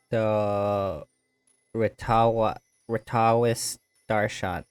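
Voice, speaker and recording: A man hosting a gaming video, casually commenting and reading dialogue aloud in a halting way. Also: on the low side, a little mellow. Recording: game music playing underneath.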